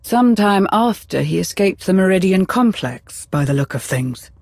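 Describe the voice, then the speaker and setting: A knowledgeable Sith adviser in a video game. She is composed and cautious, with formal, measured delivery. The sound is dry and close. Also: medium pitch, expressive, a little mellow, no echo. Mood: cautious, solemn. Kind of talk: video game cutscene dialogue.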